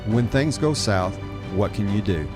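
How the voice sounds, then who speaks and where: A middle-aged man narrating a scripted podcast as host, in a measured, encouraging manner. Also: on the low side, expressive, music in the background. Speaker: podcast host, adoption life coach.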